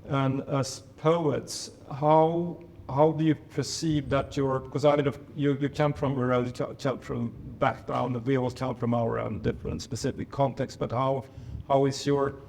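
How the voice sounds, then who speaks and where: A man's voice: medium pitch, level tone, moderate pace, creaky, darkish tone, slight background noise. A man moderating a panel, asking questions hesitantly and searching for his words. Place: reception room at a residence.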